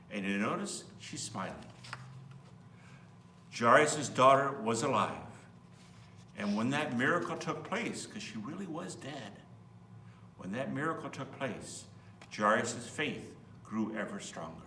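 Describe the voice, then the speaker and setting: Roomy, distant, lively male storyteller. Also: mid-range voice, measured pace, pauses often. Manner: expressive, explains in simple words as to children. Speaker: priest reading a children's Bible story. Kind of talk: storybook read-aloud with asides to children. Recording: roomy, distant mic, faint hum.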